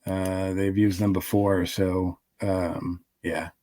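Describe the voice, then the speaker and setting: Halting man in a dry, close recording. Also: deep voice, flat delivery, mellow, dry recording. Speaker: podcast panelist. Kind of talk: casual chat reviewing audio dramas.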